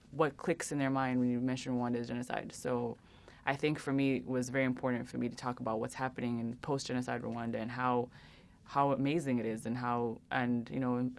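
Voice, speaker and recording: A young woman answering interview questions earnestly, with many pauses and restarts. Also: rumbling low, creaky, darkish tone. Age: young adult. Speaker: interview guest.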